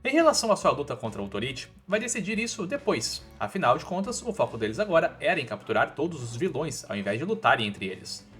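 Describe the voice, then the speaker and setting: A man's voice: a little high, very animated, fast talker, few pauses, fairly bright tone, soft background music. A man with a Brazilian accent narrating a scripted comic recap in an informal, slangy storytelling manner.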